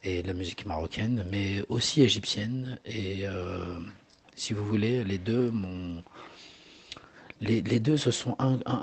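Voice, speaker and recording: A man's voice: fairly low pitch, husky. A man in his forties, a singer whose first language is Arabic, answering interview questions hesitantly with many fillers and repeated words. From Morocco.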